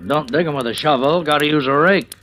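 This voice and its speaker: An actor playing a laconic, nosy small-town police chief in an old radio drama, folksy and plain-spoken. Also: male voice, on the high side, a little fast, a bit bright, natural voice. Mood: casual, probing. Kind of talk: 1940s-50s radio drama acting. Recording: noisy old radio recording.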